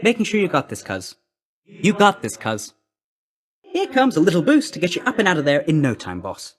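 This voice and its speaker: A cheeky young male messenger god for a video game. The voice sits fairly high and swings up and down constantly. He talks in a breezy, clipped, slangy way, like a chummy courier who is always in a hurry. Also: wildly swooping pitch, normal speed. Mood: breezy, hurried. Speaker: video game character actor.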